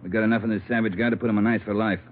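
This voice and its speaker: A commanding chief of detectives, played by an actor talks in a low, gruff voice. His delivery is clipped and decisive, as in vintage radio crime drama. The sound is muffled, with faint noise. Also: steady tone, very fast, close to an everyday voice. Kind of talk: 1950s radio drama acting. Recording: muffled, faintly noisy old radio recording.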